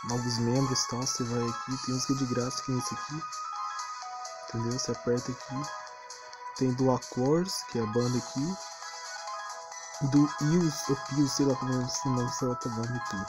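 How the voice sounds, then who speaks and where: A Brazilian man with a mid-pitched voice hosts a casual gameplay video. He talks in a rambling, slangy way and often sounds unsure of himself. Game music plays clearly behind his voice. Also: fairly even intonation, moderate pace, low-quality recording. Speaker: gaming channel host.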